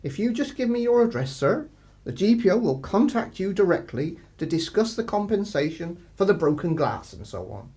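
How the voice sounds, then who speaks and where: Actor as a deferential, lilting English village constable. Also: male voice, high voice, very animated, moderate pace, mellow. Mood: deferential, hesitant. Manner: hesitant, apologetic, polite, roundabout. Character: deferential, plodding but sly male village constable. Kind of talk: radio play acting.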